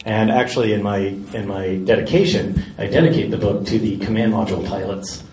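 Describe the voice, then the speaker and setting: A man answering audience questions at a live event, jokey and animated. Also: fairly low pitch, fairly quick. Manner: jokey, animated, laughs often. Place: large indoor event hall. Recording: echoey hall, distant mic, background noise.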